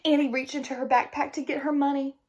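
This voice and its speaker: A woman's voice: sits high, little pitch movement, quick pace, darkish tone, slight background noise. A woman reading a picture book aloud expressively as a teacher, speaking directly to young listeners.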